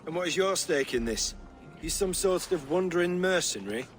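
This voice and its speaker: A man's voice: on the high side, animated, normal speed, a bit bright. An actor playing an irritable, haughty Viking-age king, imperious and curt, in a dramatic video game. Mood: curt, wary.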